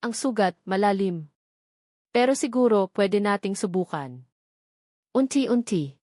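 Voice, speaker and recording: A woman with a Filipino accent voicing a gentle, hurt but forgiving young mother in a scripted audio drama, speaking hesitantly. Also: mid-range voice, lively intonation, unhurried, dry recording, clean studio sound.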